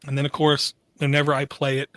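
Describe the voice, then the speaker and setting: A man with a fairly high voice co-hosting a podcast. He chats casually with a wry, sarcastic streak. The sound is close and dry, with no room echo. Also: monotone, brisk, a bit bright. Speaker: podcast co-host. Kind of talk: casual chat.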